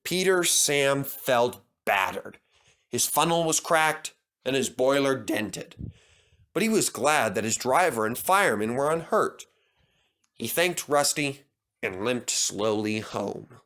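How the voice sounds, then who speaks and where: A man reading a children's storybook aloud as narrator. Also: mid-range voice. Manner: expressive, measured.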